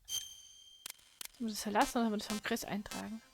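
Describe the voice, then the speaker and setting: Higher-pitched woman gamer chatting casually. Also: huge pitch swings, a little fast, vocal fry. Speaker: gamer doing a playthrough. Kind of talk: casual let's play chat. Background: faint game music.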